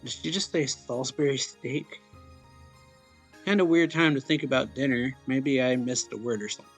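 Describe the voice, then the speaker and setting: A man reading a visual novel aloud as a let's play host, in a halting way, stumbling and going back over lines. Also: mid-range voice, slightly rough, faint music behind.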